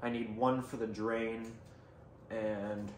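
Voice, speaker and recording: A man's voice: fairly low pitch, little pitch movement, slow pace. A man giving an informal, unscripted show-and-tell as a video host, rambling and thinking aloud as he goes. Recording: echoey room, faint background noise.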